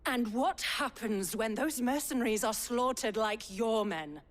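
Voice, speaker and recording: A woman's voice: a little high, measured pace, close to an everyday voice. An actress playing a defiant, practical townswoman in a fantasy game, arguing insistently. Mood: heated. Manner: argumentative, insistent. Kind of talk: heated game cutscene acting. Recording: long reverberant hall sound.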